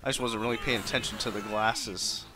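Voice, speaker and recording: A man's voice: on the low side, fairly even intonation, fairly quick. A man doing casual, sweary gameplay commentary as a video game streamer. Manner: informal, sweary. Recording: game music behind the voice. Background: video game music.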